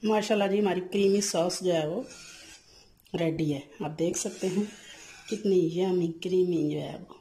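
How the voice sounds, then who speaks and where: A woman, hosting a cooking channel and narrating a recipe step by step, often filling pauses with "jo hai vo". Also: lowish voice, steady tone, slight background noise. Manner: leans on the filler "jo hai vo", gentle instructive tone.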